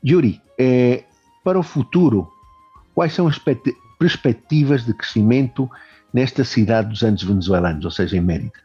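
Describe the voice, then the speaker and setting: A male host asking interview questions in a measured, courteous way. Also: mid-range voice, dark tone, breathy edge, lo-fi sound, faint music behind.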